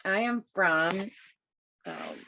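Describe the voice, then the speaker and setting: A woman's voice: medium pitch, wildly swooping pitch, extremely slow, sharp tone. A woman in her thirties from Massachusetts, a bookstore owner answering interview questions on a video call in a casual, upbeat way with many fillers. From Massachusetts, USA. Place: home room.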